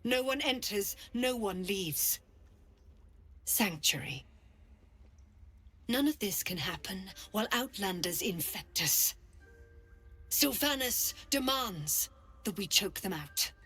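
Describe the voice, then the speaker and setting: A woman playing a stern, zealous druid leader in a video game, proud and menacing, with a solemn, deliberate delivery. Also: mid-range voice, lively intonation, bright, forceful tone, breathy edge, exaggerated voice. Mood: solemn, fanatical. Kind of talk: dramatic video game acting.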